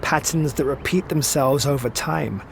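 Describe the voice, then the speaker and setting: An actor playing a wistful, evasive immortal man in an audio drama, speaking with theatrical eloquence that turns halting when he is pressed. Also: fairly high pitch, vocal fry, slight background noise. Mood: grieving, bitter.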